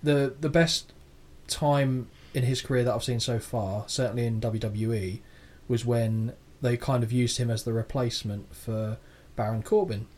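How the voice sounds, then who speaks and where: A man's voice: mid-range voice, steady tone, moderate pace, hardly any pauses, a little mellow. A man co-hosting a wrestling podcast, teasing his co-host with sarcastic banter. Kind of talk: casual chat.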